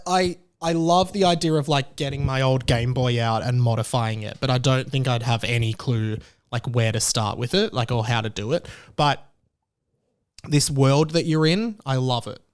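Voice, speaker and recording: A man, hosting a solo podcast in an excitable, rambling way. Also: mid-range voice, clear, resonant tone. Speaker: podcast host.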